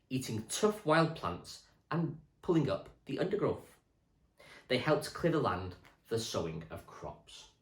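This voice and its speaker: A man, a primary school teacher, reading a children's history book aloud with clear, expressive storytelling for children. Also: medium pitch. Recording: echoey room.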